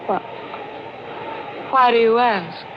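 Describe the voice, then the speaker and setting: An actress playing a reckless, fearful yet defiant woman murderer in an old-time radio drama, speaking and narrating with melodramatic pauses. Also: fairly high pitch, background noise. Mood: uneasy. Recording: dull old radio recording, loud music.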